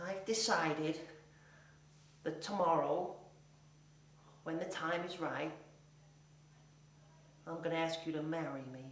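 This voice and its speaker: Male actor as neurotic awkward man in love, halting, rambling, earnest, theatrical comedy, roomy distant sound. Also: fairly high pitch, pushed and bright, a little hiss or hum. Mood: shy, tender. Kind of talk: theatrical comic monologue. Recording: echoey room, distant mic.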